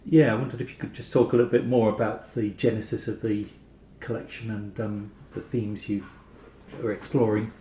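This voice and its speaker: A man's voice: fairly low pitch, little pitch movement, a little fast, mellow. Tentative male interviewer, echoey room. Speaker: podcast interviewer. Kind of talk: conversational literary interview. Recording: echoey room, faint background noise.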